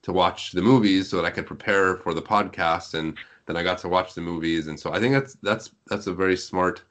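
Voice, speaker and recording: A man with a very low voice talks as a guest on a podcast. His delivery is conversational and measured as he explains his thinking. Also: fairly bright tone. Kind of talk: casual conversation. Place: group online call.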